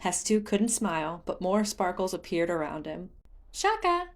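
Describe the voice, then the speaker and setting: Female audiobook narrator, slightly low register, for scripted fantasy storytelling. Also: normal speed, a little mellow.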